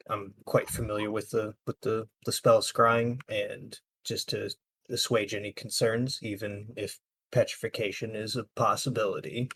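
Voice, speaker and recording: Low husky male voice, flat intonation, polite halting explanation, game player. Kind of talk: in-character improvised explanation.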